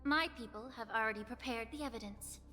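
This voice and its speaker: An actress playing a poised, polite healer official in a video game, with measured delivery. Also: female voice, fairly high pitch, pushed and bright.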